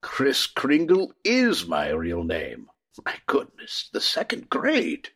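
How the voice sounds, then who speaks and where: An actor playing a kindly, sincere old Santa Claus in a radio play, gentle and courteous, with a husky voice. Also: male voice, medium pitch, wildly swooping pitch, takes it slowly, heavily acted. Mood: kindly, earnest. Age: older. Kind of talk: comedic radio play acting.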